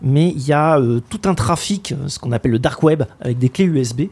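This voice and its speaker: A man's voice: mid-range voice, expressive, brisk, darkish tone, breathy edge. A man co-hosting a radio show in casual chat, hesitant and full of fillers and restarts.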